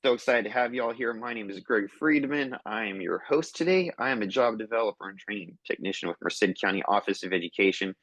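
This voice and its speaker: A man's voice: lowish voice, expressive, fast talker, few pauses, fairly bright tone, no room sound, poor audio. Upbeat man hosting a webinar, dry sound. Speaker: webinar host.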